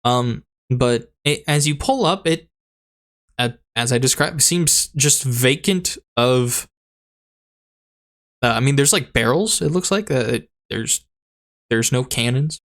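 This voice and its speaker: A man running a tabletop game as dungeon master, narrating in a halting, rambling way with a voice that is going hoarse. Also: medium pitch, fairly bright tone. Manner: rambling, halting, laughs often. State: losing his voice.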